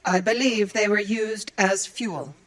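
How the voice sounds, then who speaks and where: A woman's voice: medium pitch, steady tone, measured pace, close to an everyday voice. A woman playing a dutiful, earnest android librarian in an audio drama, speaking in formal, precise, procedural phrases. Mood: matter-of-fact. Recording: music behind the voice. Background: music.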